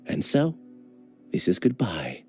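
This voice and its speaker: Game role: young male scholar, measured and apologetic, warm mellow voice, light music underneath. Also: low-pitched, wildly swooping pitch, heavily acted. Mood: resigned. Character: resigned, apologetic young male researcher confessing. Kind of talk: dramatic video game voice acting.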